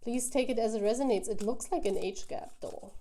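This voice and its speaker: A woman giving a casual, intuitive tarot reading to viewers, thinking aloud in a musing, halting way. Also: a little high, warm tone. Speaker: tarot reader, host.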